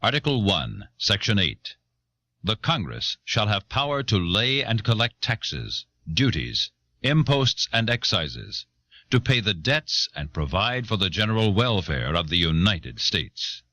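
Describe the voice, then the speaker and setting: A man playing a solemn official reader, reciting a founding legal document in a formal, deliberate, declamatory way. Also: fairly low pitch, very animated, sharp tone, exaggerated voice. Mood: solemn, declarative.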